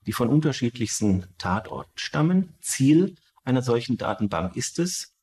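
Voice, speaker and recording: A man, explaining formally and in measured phrases as a criminology expert. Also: medium pitch, a little mellow.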